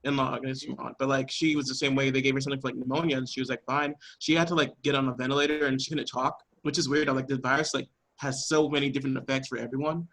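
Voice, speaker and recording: Man, mid-range pitch, casual chat, rambling, loosely strung sentences. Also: level tone, quick pace, hardly any pauses, fairly bright tone, rough recording. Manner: loose, rambling, heavy use of "like". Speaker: cast member of a radio play podcast.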